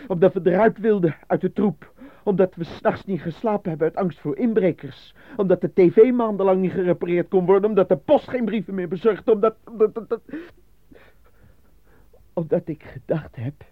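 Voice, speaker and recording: A man's voice: sits high, expressive, normal speed, no room sound. A man playing a desperate, embittered prisoner under questioning in a radio drama, protesting his innocence with weary emotion. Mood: desperate, bitter. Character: desperate, embittered male actor being interrogated, pleading innocence. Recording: dry, phone-like narrowband radio play recording.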